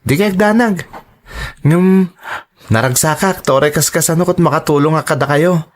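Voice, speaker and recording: Man acting as high-pitched, earnest Ilocano teenage son. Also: moderate pace, lightly acted. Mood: earnest, tender. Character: dutiful, eager teenage son, tender and earnest. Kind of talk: Ilocano radio drama acting.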